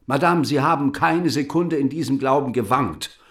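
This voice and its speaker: An actor playing a bitter, patriotic French writer and deputy in a radio play, eloquent, rhetorical and ironic. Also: male voice, mid-range voice, normal speed, a bit bright, natural voice. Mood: bitter irony.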